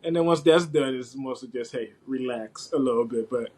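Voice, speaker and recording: Young guy from west africa who moved to the us, mid pitch voice, chatting casually as a podcast guest, rambles a bit. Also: normal speed, a little mellow. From West Africa (Liberia), later the US from age eleven. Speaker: podcast guest, IT systems administrator. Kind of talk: casual interview chat.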